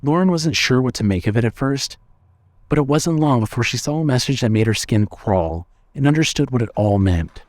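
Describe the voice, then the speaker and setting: A man reads scripted narration for a horror audio drama. His voice sits at a medium pitch, and he tells the story deliberately, with measured phrasing. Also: expressive, dry recording.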